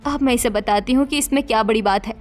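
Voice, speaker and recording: A woman's voice: fairly high pitch, fast, soft background music, close to an everyday voice. A woman voicing a stern, exasperated elder sister and housewife in a cartoon story, lecturing and scolding. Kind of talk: Hindi cartoon story voice acting.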